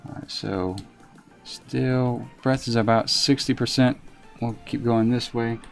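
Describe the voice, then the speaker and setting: A soft-spoken man giving casual commentary as he plays a game live and answers his chat in a reserved, unhurried way. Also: medium pitch, fairly even intonation, vocal fry, soft background music. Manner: reserved, unhurried, talks while playing. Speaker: live streamer.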